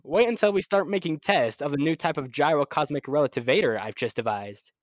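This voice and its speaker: A young man playing a proud, excitable scientist-inventor in old-time radio serial style, with a fussy, halting, stammering manner. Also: a little high, no room sound, clean studio sound, natural voice. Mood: pleased, proud. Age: young adult. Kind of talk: 1930s radio serial acting.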